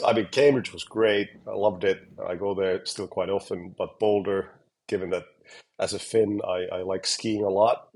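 Finnish man, husky, halting speech. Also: sits low, darkish tone. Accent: Finnish, with American and British touches. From Finland. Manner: hesitant, many fillers and restarts. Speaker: guest scientist. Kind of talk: relaxed interview talk. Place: home or office in Helsinki.

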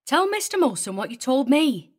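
Woman acting a loud, animated, aggrieved innkeeper's wife. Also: on the high side, moderate pace. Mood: bossy. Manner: boisterous, exclamatory.

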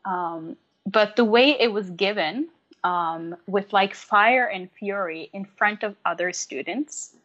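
A Norwegian-born woman who teaches yoga, speaking at a middling pitch in a thoughtful, halting way, with frequent ums as she gathers her words. The audio is a little dull and lo-fi. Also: a bit bright. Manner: reflective, many ums and hesitations. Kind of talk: casual podcast conversation.

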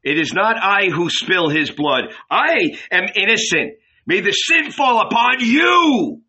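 A haughty Roman governor, acted out by a male audiobook reader, taunting and commanding. The delivery is declamatory and forceful, climbing high and swooping with slow, weighty phrasing. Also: big pitch swings, husky, muffled recording, exaggerated voice. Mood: imperious. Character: proud, mocking, angry Roman governor, male ruler.

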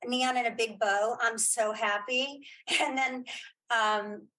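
A middle-aged woman chatting casually as an interview guest, giving opinions in halting, repeated phrases. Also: a little high, steady tone, pushed and bright, rough recording. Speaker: guest, playwright and audio drama creator.

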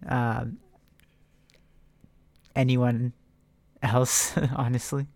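Man, mid pitch, flat monotone delivery, dry close sound, podcast co-host, casual chat, short quips. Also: drawn-out words, dry recording. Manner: brief side comments and laughs.

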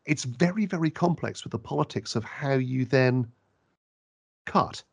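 A man who works as a radio interviewer, answering questions in an animated, emphatic way with frequent restarts. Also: medium pitch, a little slow, creaky, slightly rough.

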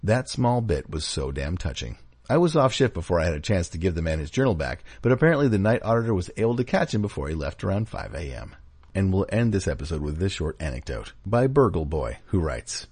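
A man's voice: deep voice, darkish tone, breathy edge, dry recording. A man reading written anecdotes aloud as a podcast host.

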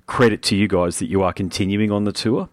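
A young man with an Australian accent and a low, deep voice hosts a casual interview. He talks in an easy, enthusiastic way, full of "mate" and friendly chatter. The sound is dry and close, with no room around it. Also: brisk, no echo. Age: young adult. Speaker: podcast host.

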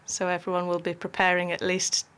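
A woman vicar calmly explaining how a church carol service works, in casual conversation. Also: lowish voice, a little fast, clear, resonant tone.

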